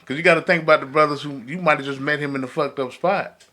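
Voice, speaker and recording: A man's voice: fairly high pitch, steady tone, quick pace, pushed and bright. An American man talking as a panelist in a casual podcast discussion, informal and slangy, often repeating his phrases.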